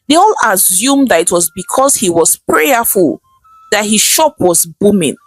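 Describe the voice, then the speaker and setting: A woman with a Nigerian accent narrating a scripted folktale in a dramatic, expressive storytelling manner. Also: medium pitch, dry recording, low-quality recording.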